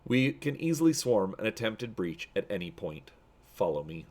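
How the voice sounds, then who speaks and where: Man voicing an officious low-voiced male military officer. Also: very animated, moderate pace, darkish tone. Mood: officious, formal. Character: officious, droning Imperial officer guiding a prison tour.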